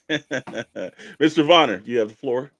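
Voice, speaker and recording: A man's voice: mid-range voice, animated, takes it slowly, muffled recording. A man co-hosting a show, chatting casually and making announcements. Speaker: show co-host. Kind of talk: casual chat.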